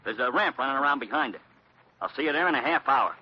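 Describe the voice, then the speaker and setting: A man's voice: mid-range voice, animated, quick pace, over loud music, lightly acted. An actor playing a cool mystery man making veiled threats, terse and controlled, in 1940s radio crime drama style. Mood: terse, guarded. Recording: dull, faintly noisy old radio recording.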